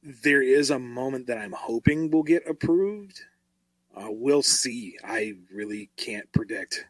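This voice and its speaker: A man talking casually and reflectively as a writer answering questions, thinking aloud in a halting way. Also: medium pitch, lively intonation, rough recording.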